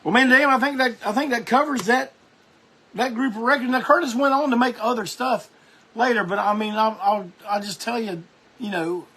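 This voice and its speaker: A man's voice: extremely high pitch, fairly even intonation, normal speed, bright, forceful tone, slightly rough. A man hosting a music show, talking casually and enthusiastically about records, rambling with lots of restarts.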